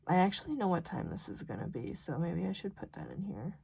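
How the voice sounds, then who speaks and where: A woman's voice: on the low side, expressive, fairly quick, creaky, a little mellow, husky. A young American woman chatting casually and ramblingly as she works on her planner, informal and self-deprecating, sometimes murmuring to herself. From rural South Dakota, US. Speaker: planner vlogger.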